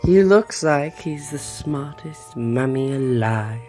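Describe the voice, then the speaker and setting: Very slow, suspenseful male narrator. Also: mid-range voice, animated, a little mellow. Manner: slow, suspenseful, drawn-out phrases. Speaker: game master narrator. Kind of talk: dramatic scripted fantasy-horror narration. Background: background music.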